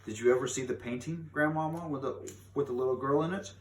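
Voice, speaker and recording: Inquisitive, doubting seven-year-old played by a man, storybook read-aloud, lifted pitch, echoey room. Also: fast talker, natural voice. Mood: wondering. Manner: questioning, lilting upward. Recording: echoey room, distant mic, faint noise.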